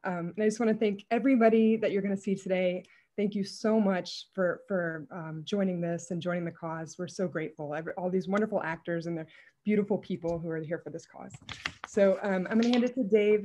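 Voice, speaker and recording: A woman's voice: lowish voice, fairly even intonation, quick pace, dark tone, slight background noise. A woman co-hosting an online event, giving an informal welcome to viewers over a video call. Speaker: writer and co-host of the event. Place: indoor room at home, on a group video call. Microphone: video-call microphone.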